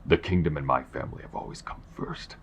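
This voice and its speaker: An exceptionally low, gravel-laden voice for a bitter old monarch, acted by a man. He is cold, imperious and formal, a ruthless father in an audio drama. Slight noise sits behind him. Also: fast. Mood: stern, unyielding. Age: older. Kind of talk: audio roleplay acting.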